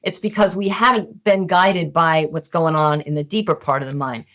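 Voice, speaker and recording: A woman's voice: low voice, fairly even intonation, normal speed, a bit bright. A middle-aged woman, a hypnotist and medium, talking candidly and casually as a podcast guest. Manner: casual and candid, occasional swearing. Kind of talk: podcast interview, conversational teaching.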